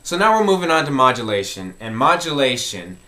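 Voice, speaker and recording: A man's voice: medium pitch, very animated, fairly quick, sharp tone. A man hosting an informal music tutorial, explaining step by step in a conversational way and repeating key points.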